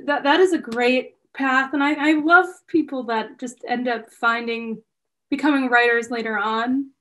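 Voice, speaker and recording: A woman's voice: on the high side, unhurried, fairly bright tone. A woman hosting a casual interview, speaking in a tentative, conversational way. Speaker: interviewer, host.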